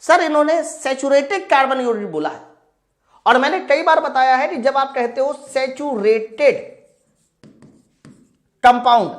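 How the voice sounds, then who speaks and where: High, animated woman teacher. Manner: instructive, emphatic, prompts students with questions and repeats key points. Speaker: science teacher. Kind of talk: exam-prep class, working through practice questions aloud. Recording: roomy echo.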